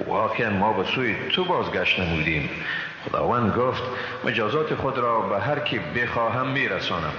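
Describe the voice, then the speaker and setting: A man's voice: lowish voice, bright, forceful tone, a little hiss or hum. Solemn man with an Afghan Dari accent. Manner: measured, solemn delivery. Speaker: translator reading aloud. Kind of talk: formal scripted reading. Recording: echoey hall, dull lo-fi sound.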